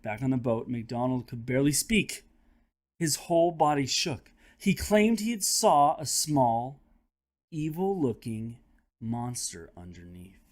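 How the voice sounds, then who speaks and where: A man hosting a children's read-aloud, telling the story in a dramatic, expressive way with chatty asides. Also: medium pitch, measured pace. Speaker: host and teacher reading aloud to children.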